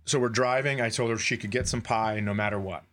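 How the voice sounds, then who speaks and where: A man's voice: on the low side, fairly even intonation, fairly quick, a bit bright. American man telling stories casually. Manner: profane, wry, acts out dialogue. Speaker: podcast co-host. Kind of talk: casual comedic storytelling.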